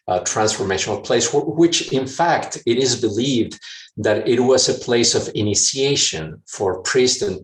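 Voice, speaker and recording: A man from Mexico City telling a personal story to an audience in a reflective way, pausing often with fillers and restarts. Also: lowish voice, reverberant, recorded from a distance, poor audio. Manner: hesitant, many fillers and restarts. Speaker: speaker sharing a personal story.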